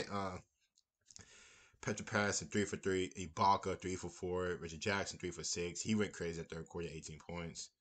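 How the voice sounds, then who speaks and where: A man's voice: low-pitched, moderate pace. A young man giving a casual, rambling post-game reaction from a small room, repeating himself as he talks through the game. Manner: relaxed, rambling, repeats phrases. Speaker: basketball fan commentator.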